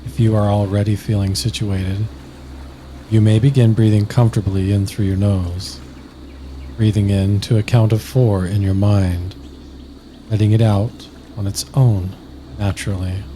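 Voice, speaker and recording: A man narrating a scripted guided sleep meditation slowly and soothingly, with long pauses. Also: lowish voice, level tone, dark tone, slight background noise.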